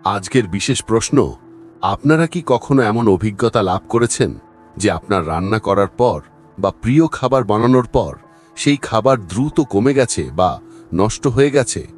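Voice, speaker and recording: A man's voice: lowish voice, lively intonation, moderate pace, faint music behind. A man narrating a horror cartoon story in a dramatic, storytelling way. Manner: dramatic storytelling with an audience question.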